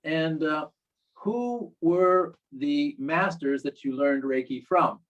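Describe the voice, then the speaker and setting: A man hosting an interview, polite and measured, with occasional fillers. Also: a little high, lo-fi sound. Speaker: interview host.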